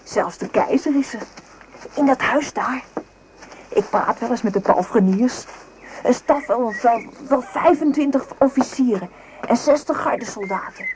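A woman's voice: a little high, measured pace, darkish tone, a little hiss or hum, close to an everyday voice. Actress as tender, hesitant young wartime woman. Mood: timid, flustered. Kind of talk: Dutch radio play acting.

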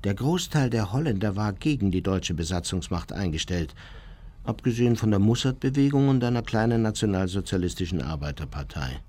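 An actor playing an unrepentant Nazi war criminal recounting his memoirs in a measured, matter-of-fact way for a radio play. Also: fairly low pitch, animated, a little mellow.

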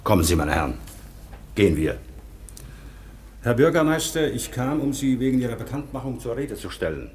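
A man playing a dignified, decisive high official in a radio drama, with a formal and authoritative manner. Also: sing-song, moderate pace, pauses often, a little mellow, a little hiss or hum, close to an everyday voice. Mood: grave, pondering. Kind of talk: German radio drama acting.

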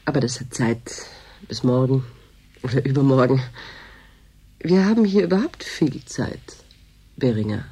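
Brief: husky, mellow-voiced female boss for radio drama, matter-of-fact, disillusioned, dryly officious. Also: rumbling low, big pitch swings, a little slow, long pauses, exaggerated voice. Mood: weary, sober. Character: world-weary, dryly officious female boss of a land reclamation station. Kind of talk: German radio drama acting.

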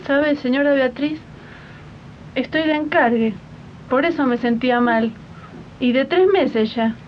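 A woman's voice: a little slow, a little hiss or hum. A woman playing a timid, worried young maid in a 1980s community radio drama, meek and hesitant, with an Argentine accent. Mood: anxious, resigned. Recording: muffled, rumbly 1980s archive radio recording.

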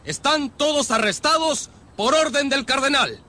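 An actor playing an officious, imperious envoy of a cardinal in a radio drama, shrill and insistent. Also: male voice, lively intonation, a little slow, bright, forceful tone, exaggerated voice.

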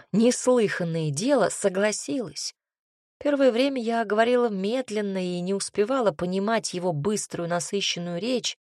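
Woman narrator for a fantasy audiobook, wry and ironic, dry close mic, mid-pitched voice. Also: a little mellow, no room sound.

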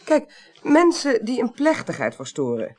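A woman acting a careful, sceptical investigator in a Dutch radio crime drama from the early eighties, arguing in an articulate, measured way. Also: fairly high pitch, huge pitch swings, a little mellow, heavily acted. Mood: reasoning, firm.

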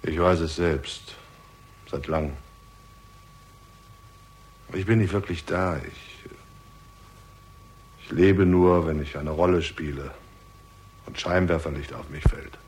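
An actor playing a vain, hollow stage actor who puts on nonchalance in a 1960s German crime radio drama. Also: male voice, steady tone, takes it slowly, pauses often, a little mellow. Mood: resigned, hollow. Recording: vintage radio recording, noise, rumble.

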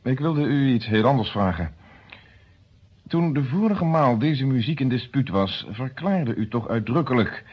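Very husky, sardonic police inspector. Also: male voice, medium pitch, expressive, a little slow. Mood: probing. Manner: formal, pointed, interrogating. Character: male police inspector, probing, sardonic, coolly official. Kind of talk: Dutch radio play dialogue. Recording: dull, lo-fi old radio recording.

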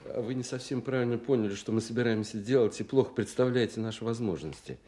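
An actor playing a brusque, authoritative Soviet colonel in a radio drama, speaking in a firm, clipped, insistent way. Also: male voice, mid-range voice, fast, mellow, slight background noise, close to an everyday voice. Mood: firm, explaining.

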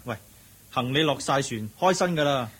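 A male actor playing an excitable, teasing companion who is game for mischief, in a Hong Kong Cantonese radio drama, with a husky voice. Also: mid-range voice, moderate pace. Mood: amused, playful. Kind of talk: Buddhist radio drama acting.

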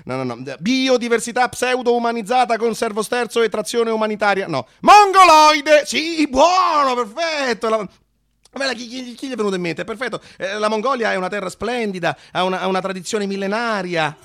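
Cattolico di sinistra agitato, voce acuta. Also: male voice, very high-pitched, animated, normal speed, heavily acted. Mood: agitated. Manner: stammering, repeating phrases, swinging from muttering to shouting. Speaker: narrator-actor of a satirical monologue. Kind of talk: theatrical comic monologue for radio.

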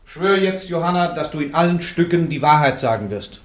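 A man's voice: on the high side, expressive, fairly quick, close to an everyday voice. A man acting an insistent, learned church interrogator in a German radio play, urging and pressing. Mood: stern, pressing.

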